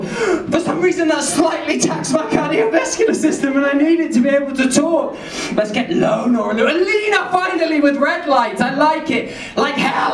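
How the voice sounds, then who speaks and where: A man improvising a spoken-word poem in a small venue, rambling and playful with sudden shouts. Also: very high voice. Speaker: poet. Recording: echoey room from far off.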